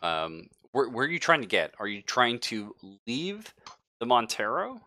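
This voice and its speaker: A man's voice: medium pitch, wildly swooping pitch, a little slow, bright, forceful tone. A man speaking casually and informally as game master of a tabletop game. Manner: informal, profane.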